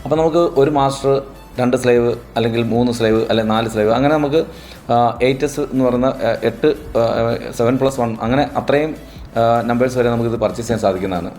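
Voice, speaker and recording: A man gives a product review at a quick pace. His voice is soft-edged and mellow, and he explains step by step, restating points as he goes. Faint music plays behind him. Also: medium pitch. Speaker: product reviewer for a camera store. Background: background music.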